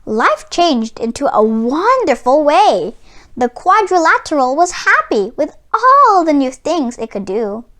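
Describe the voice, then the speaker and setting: A woman reading a children's book aloud in an expressive storytelling style. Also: sits high, a little slow.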